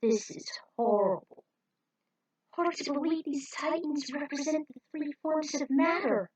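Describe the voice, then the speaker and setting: Woman voicing a worried, higher-pitched starship crew member. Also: measured pace, darkish tone. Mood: fearful.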